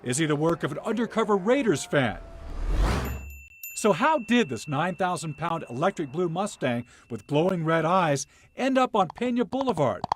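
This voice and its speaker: Male feature reporter, highish and very animated, playful dramatic storytelling over faint noise. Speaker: TV news reporter. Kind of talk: scripted feature news narration.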